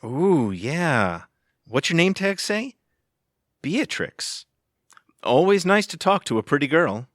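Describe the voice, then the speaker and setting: An actor, a man, plays a self-important ladies' man with a lively, swooping delivery in a dry recording. He is smarmy and cocky, a comic audio-theatre sleaze who thinks he is irresistible. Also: fairly high pitch, slow, pauses often, no echo, full character voice. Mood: flirtatious. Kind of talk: comedic audio theatre acting.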